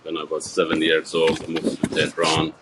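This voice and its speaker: A man, answering a TV reporter's questions in a halting, earnest way with many fillers and restarts. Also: a bass voice, completely flat delivery, background noise. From Iran. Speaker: interviewee. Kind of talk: TV news interview.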